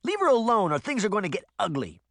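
A man's voice: high voice, wildly swooping pitch, fast talker, a bit bright, no echo, clean studio sound, voice pushed up, exaggerated voice. A man voicing a feisty, protective little girl in a storybook, scolding with childish tough talk.

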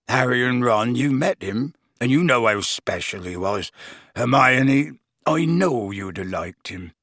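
A man voicing a big, plain-spoken man reading out his sorrowful letter in a halting, heavy-hearted way. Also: fairly low pitch, bright, forceful tone, no echo, clean studio sound, heavily acted.

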